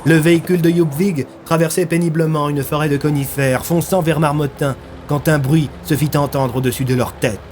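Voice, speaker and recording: A man's voice: a little high, steady tone, brisk, few pauses, a little mellow. A man narrating a scripted dramatic story in a grave, theatrical way. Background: music.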